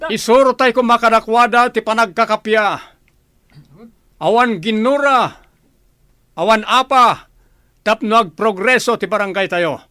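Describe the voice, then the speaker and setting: A man's voice: high-pitched, a little slow, pauses often, bright, forceful tone, clean tone, no echo. A man playing an earnest, friendly village leader and family man in a radio drama, with an Ilocano accent. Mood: proud, earnest.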